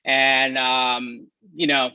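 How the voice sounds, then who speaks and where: A man with a Kenyan accent tells his story in a loose, unhurried way, dotted with ums. His voice is bright and forceful. The call audio is dry and a little dull. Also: mid-range voice, level tone, smooth, no room sound. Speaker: guest, software company CEO. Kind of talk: casual live-stream conversation.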